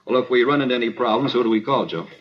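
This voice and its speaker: Actor as fast-talking, practical policeman. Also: medium pitch, close to an everyday voice. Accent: American. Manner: casual, questioning. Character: inquisitive, practical male police officer. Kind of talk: 1950s radio police drama acting. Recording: muffled, noisy 1950s radio recording.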